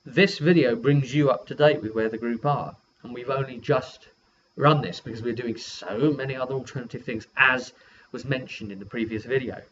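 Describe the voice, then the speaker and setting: Male game master and vlogger, casual and halting recap, middle-pitched voice, lo-fi audio with faint noise. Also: a bit bright. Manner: halting, self-correcting delivery. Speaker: game master and video host. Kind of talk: casual rambling session recap. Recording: dull lo-fi sound with faint noise.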